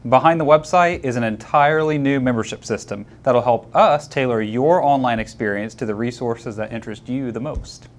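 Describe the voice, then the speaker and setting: A man's voice: medium pitch, normal speed, few pauses. A man co-hosting a hobby news show, reading scripted segments with a touch of dry humor in banter. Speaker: show co-host.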